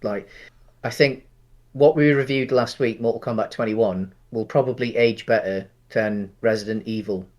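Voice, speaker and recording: Halting male podcast co-host, medium pitch. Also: fairly even intonation, darkish tone. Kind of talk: casual film discussion.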